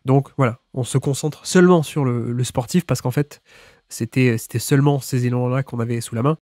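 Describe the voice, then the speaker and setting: Enrhumed French podcast host, dry sound. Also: male voice, mid-range voice, a little fast, a little mellow, no echo. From France. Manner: chatty, many restarts and little laughs. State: has a cold. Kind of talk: solo podcast introduction.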